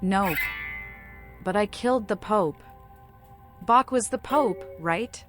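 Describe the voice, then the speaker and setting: A woman's voice: medium pitch, slow pace, music in the background, lightly acted. Woman playing a mad, riddling lady vampire. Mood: gleeful. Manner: eccentric, whimsical, cryptic. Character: mad, riddling female vampire, playful and cryptic. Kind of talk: modded video game voice acting.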